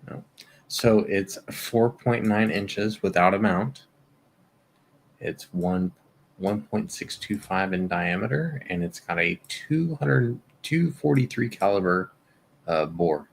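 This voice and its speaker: A man co-hosts a casual podcast in a deep, gravelly voice. He talks in a laid-back, halting way, and a faint noise sits behind him. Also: animated. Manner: laid-back, hesitant, reads out specs. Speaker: podcast co-host. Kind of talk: casual chat on a live stream.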